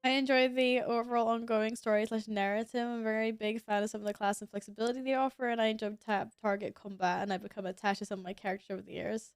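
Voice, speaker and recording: Sniffly young woman streamer, casual chatter, smooth voice, dry close mic. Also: fairly high pitch, steady tone, fast, hardly any pauses, dry recording. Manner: chatty, reacts to gameplay, reads chat aloud. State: sniffly, sneezing. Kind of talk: casual gaming stream chat.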